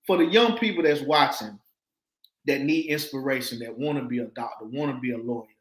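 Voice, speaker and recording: Excitable host in an echoey room. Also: male voice, mid-range voice, fairly quick. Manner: enthusiastic, repeats words, stumbles and restarts. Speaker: show host and coach. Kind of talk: interviewer, motivational chat.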